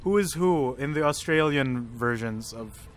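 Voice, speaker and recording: A man chatting casually while playing an online shooter, excited and swearing as he calls out his plays. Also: medium pitch, measured pace, background noise. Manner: loud, excited, swearing play-by-play. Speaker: gamer. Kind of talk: casual gaming chat.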